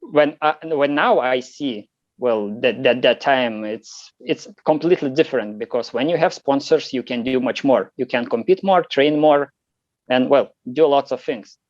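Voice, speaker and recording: A Lithuanian man speaking English with a Lithuanian accent as an interview guest, answering in a halting way with many fillers and restarts. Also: mid-range voice, no echo, highs cut off. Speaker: competitive shooter guest.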